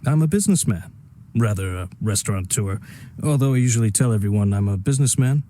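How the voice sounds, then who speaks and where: An actor playing a smooth-talking, self-satisfied crime businessman in a video game, urbane and wry with a courteous menace. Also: fairly low pitch, quick pace, mellow, a little hiss or hum, close to an everyday voice. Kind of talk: naturalistic video game voice acting.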